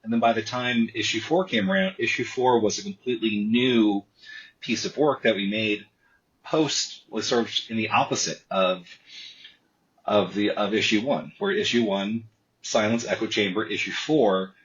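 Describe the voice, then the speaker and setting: A man speaks in a lowish voice, telling the story behind his comic in a casual interview. He stalls on repeated words before he gets going. The sound is muffled and lo-fi, like a remote call. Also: moderate pace. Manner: repetitive restarts, animated storytelling. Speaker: comic artist guest.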